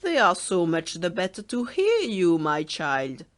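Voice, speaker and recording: A woman voicing a wicked, hungry male wolf in a storybook reading, speaking in a loud, sly, coaxing way. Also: lowish voice, huge pitch swings, unhurried.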